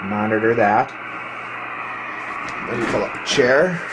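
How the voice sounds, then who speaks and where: A man giving a casual, hands-on video walkthrough as a hobbyist, explaining technical gear in a matter-of-fact, halting way into a handheld camera. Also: mid-range voice, lively intonation. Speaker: ham radio hobbyist showing his equipment. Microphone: handheld camera microphone. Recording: clearly noisy handheld camera recording.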